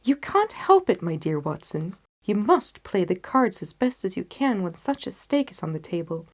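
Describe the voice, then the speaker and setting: A woman reading the part of a confident, determined gentleman detective in a storybook, with emphatic, articulate delivery. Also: medium pitch, sing-song, normal speed. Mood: amused, confident. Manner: emphatic, articulate, self-assured.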